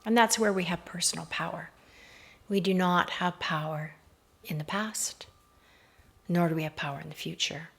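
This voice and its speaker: A woman leading a slow guided meditation in a measured, hushed voice that often softens into breathiness, recorded outdoors. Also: on the low side, steady tone, creaky, slightly rough. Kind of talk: slow, soothing guided meditation with hypnotic induction. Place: outdoors in a garden. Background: faint outdoor garden ambience.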